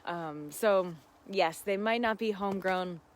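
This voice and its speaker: A woman's voice: mid-range voice, a little slow, a little hiss or hum. A woman hosting a casual live gardening Q&A, talking in an effusive, affirming, giggly and conversational way. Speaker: gardening host answering live viewer questions.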